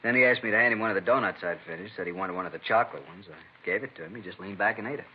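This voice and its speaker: An actor playing a plain-spoken bakery owner in a 1950s radio drama, casual and matter-of-fact about being robbed. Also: male voice, on the low side, animated, very fast, gravelly, husky, heavily acted. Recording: dull, noisy old radio recording.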